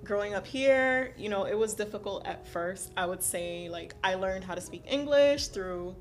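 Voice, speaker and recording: A young woman from Haiti talks casually as a podcast guest, recounting her childhood with lively energy and easy laughter. Her voice sits at a middle pitch. Soft music plays faintly underneath. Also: moderate pace. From Haiti, raised in South Florida. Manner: animated, laughs while telling stories, many "um"s. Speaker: guest, school counselor. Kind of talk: casual interview storytelling.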